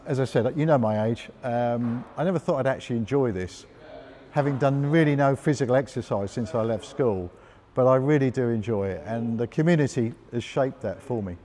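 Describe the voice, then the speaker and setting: A man's voice: mid-range voice, fairly quick, warm tone. A man in his sixties, a gym member chatting in an interview, jokey and talking straight to the interviewer. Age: 60s. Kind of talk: casual interview chat.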